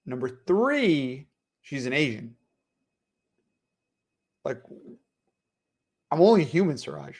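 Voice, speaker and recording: A man's voice: a little high, sing-song, slow, pauses often, darkish tone. A man co-hosting a casual news podcast, trading teasing banter and laughing often. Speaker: podcast co-host.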